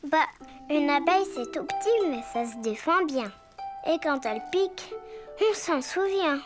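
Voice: sits high, animated, measured pace, over music. A performer voicing an eager, tender little boy bear cub in a preschool cartoon, with simple childlike exclamations. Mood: puzzled. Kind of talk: French preschool cartoon acting.

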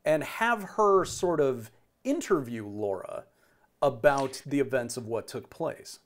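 A middle-aged man hosting a casual interview over a video call, feeling his way through wordy, halting questions. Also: mid-range voice, expressive. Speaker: host interviewing a radio play's cast. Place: indoor room on a video call.

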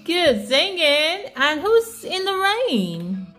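A woman's voice: very high voice, big pitch swings, very slow, fairly bright tone, soft background music. A woman reading a picture book aloud with a young child, encouraging, asking simple questions and echoing the answers.

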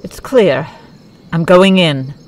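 Rescue leader, played by a woman: tough female warrior, low dry voice, sardonic, insect ambience behind. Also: slow, slight background noise, rough recording. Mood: focused. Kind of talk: fan audio drama acting.

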